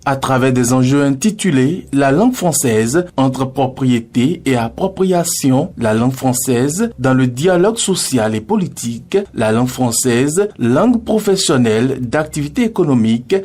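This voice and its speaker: Man, news voice-over, measured formal reading, husky, mid pitch. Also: expressive, darkish tone. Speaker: news reporter.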